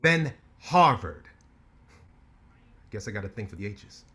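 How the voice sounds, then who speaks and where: An actor playing a brash, ambitious lawyer who talks with cocky sarcasm in a staged play reading. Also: male voice, lowish voice, very animated, a little slow, bright, forceful tone, heavily acted.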